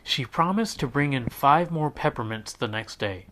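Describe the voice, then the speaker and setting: A man reading a children's picture book aloud as a teacher, in an expressive storytelling style. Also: medium pitch, normal speed, slight background noise, low-quality recording.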